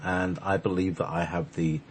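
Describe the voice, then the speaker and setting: A man's voice: sits low, little pitch movement, moderate pace, breathy edge. A man hosting a talk show, chatting casually in a rambling, reflective way.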